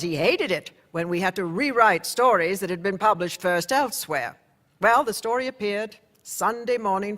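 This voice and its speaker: A man narrating in the first person as a newspaper insider, telling the story in a measured, conversational way for a radio drama. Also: sits high, pushed and bright.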